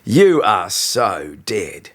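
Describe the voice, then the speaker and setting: A man's voice: on the low side, big pitch swings, drawn-out words, a bit bright, breathy edge, full character voice. A man voicing a big, dim schoolboy bully in an audiobook, sneering, malicious and threatening. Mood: menacing.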